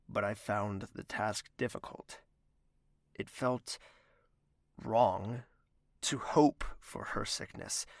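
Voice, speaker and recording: A gravelly, brooding lovesick nobleman. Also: medium pitch, no room sound, studio quality. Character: anxious, lovesick nobleman brooding over an evasive woman. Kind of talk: audio drama dialogue and first-person narration.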